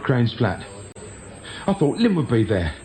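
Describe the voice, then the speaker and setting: A man's voice: medium pitch, big pitch swings, a little slow, darkish tone. A man acting a nervous, evasive small-time crook with a British accent in a radio drama, stammering and justifying himself. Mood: confessing. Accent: British English.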